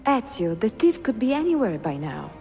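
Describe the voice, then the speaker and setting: An actress playing a bookish, spirited young Italian woman bookseller, friendly and expressive, with an Italian accent, in a dramatic game cutscene. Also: fairly high pitch, normal speed, faint music behind. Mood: resigned, practical.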